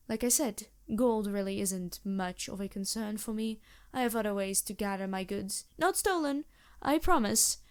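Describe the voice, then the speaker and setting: A woman voicing a polite, anxious and gentle vampire with formal, earnest phrasing in a fantasy audio roleplay. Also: on the high side. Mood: polite, reassuring. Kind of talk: fantasy ASMR roleplay acting.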